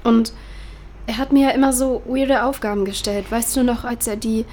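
A young actress playing a grieving, searching daughter in a naturalistic audio drama, talking colloquially and insistently. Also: female voice, on the high side, fairly even intonation, normal speed, a little mellow, lightly acted. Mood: reminiscing. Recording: clear background ambience noise.

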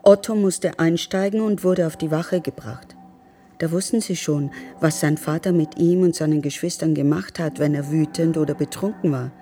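An actress playing a sombre woman who narrates in a measured, sober, detached way in a radio drama. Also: low voice, mellow, faint music behind, close to an everyday voice. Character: sombre woman recounting grim memories of a children's home.

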